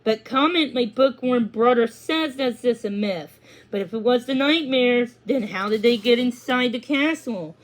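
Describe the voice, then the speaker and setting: A woman's voice: a little high, measured pace, lightly acted, low-quality recording. A woman voicing a young female talking pony, loyal, playful and earnest, in a children's story. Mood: earnest, worried. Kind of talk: children's storybook character dialogue.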